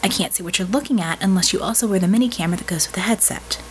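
An earnest young hacker-mage from an audiobook, acted by a woman reader. She explains herself assertively and has a dry wit. Her pitch is middling, over a faintly noisy background. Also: very fast, lightly acted. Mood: brisk, explanatory. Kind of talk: audiobook character dialogue.

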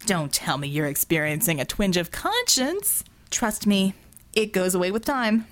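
A woman's voice: medium pitch, very animated, measured pace. A woman voicing a smug, bored male villain in an audiobook, breezy and sardonic with mock-genteel turns of phrase. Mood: smug taunting.

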